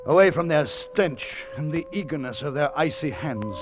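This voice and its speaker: A man's voice: on the high side, expressive, dull audio, music in the background, natural voice. An actor playing a weary time traveller who tells his ordeal solemnly in old-time radio drama style, on a vintage recording. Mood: intense, dramatic. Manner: solemn, measured, dramatic storytelling. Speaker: narrator and character.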